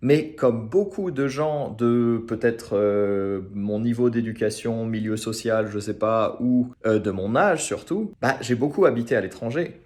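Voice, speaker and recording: A man's voice: mid-range voice, measured pace, hardly any pauses, a little mellow. Frank, casual man from France. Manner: frank, informal, thinks aloud. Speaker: French teacher and channel host. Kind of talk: casual talk to camera.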